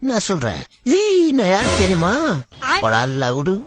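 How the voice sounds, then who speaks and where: A woman's voice: on the low side, wildly swooping pitch, measured pace, noisy background. A woman voicing a brash, cheeky child's inner thoughts in a comic dub, mocking and slangy.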